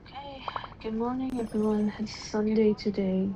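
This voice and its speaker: A woman's voice: mid-range voice, steady tone, moderate pace, background noise. A woman chatting casually and in a subdued way as she livestreams a game from home on an earbud mic. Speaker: game streamer. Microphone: wireless earbud mic on a phone stream. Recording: muffled earbud mic, music behind. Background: clear game music.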